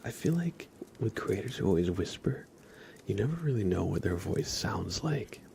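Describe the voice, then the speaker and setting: A man with a low, creaky voice speaks in gentle ASMR style, slipping between whispers and soft speech. He takes his time, close to the mic, with clear background noise and crinkling. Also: animated, moderate pace. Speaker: ASMR live streamer.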